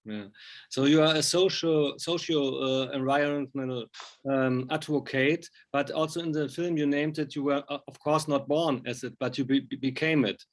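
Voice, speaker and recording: A man's voice: a little high, steady tone, normal speed. A man who is a native German speaker, asking conversational interview questions and hesitantly searching for words.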